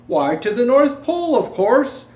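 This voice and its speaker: Train conductor, acted out by a male reader: storybook figure, theatrical, commanding, raised lively pitch, roomy sound. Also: unhurried, exaggerated voice. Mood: proclaiming. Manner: loud, proclaiming, theatrical. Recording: roomy echo, faint background noise.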